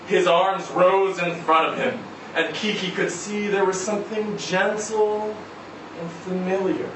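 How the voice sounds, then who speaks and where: Slow-paced man reading aloud, very echoey room. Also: a little high. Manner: measured, deliberate phrasing with pauses. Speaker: story reader at a live literary event. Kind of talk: dramatic live reading of a short story. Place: indoor event venue before a live audience. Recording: echoey room, distant mic, noisy background.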